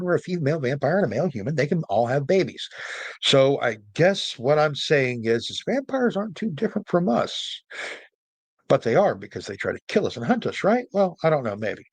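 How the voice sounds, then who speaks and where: A man's voice: a little high, breathy edge, no echo. A man hosting a storytelling show in a casual, chatty way, with jokey, rambling asides. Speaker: host and storyteller. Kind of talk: casual explainer monologue.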